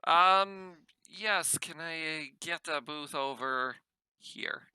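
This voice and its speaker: A man's voice: fairly high pitch, very slow, pushed and bright, clean tone, no echo. A man chatting casually as a player in a tabletop role-playing game.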